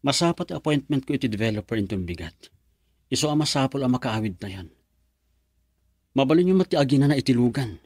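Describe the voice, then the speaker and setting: A man's voice: medium pitch, lively intonation, brisk, long pauses, a little mellow, lightly acted. An actor playing a reluctant, lovelorn young man in a radio drama, with an Ilocano accent. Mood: reluctant, terse. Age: young adult. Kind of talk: Filipino radio drama acting.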